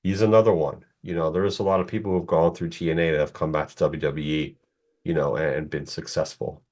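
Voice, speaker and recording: Guy in his 40s, deep voice, rambling casual podcast talk, kinda opinionated. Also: steady tone, fast talker, darkish tone. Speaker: podcast co-host. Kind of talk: casual chat.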